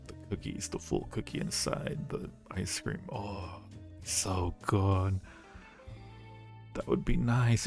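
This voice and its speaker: A man's voice: lowish voice, creaky, a little mellow. A man hosting a live-stream Q&A, answering viewer questions casually with a playful, teasing and flirty manner. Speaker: audio roleplay creator hosting a live Q&A.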